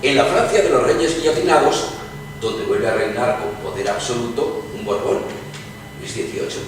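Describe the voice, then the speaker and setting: A man's voice: mid-range voice, lively intonation, normal speed, recorded from a distance, lightly acted. A man playing an emphatic, oratorical debater in a dramatized period radio play. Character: emphatic male debater in nineteenth-century salon. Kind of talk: dramatized stage reading, radio theatre. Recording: echoey hall from afar, clear noise.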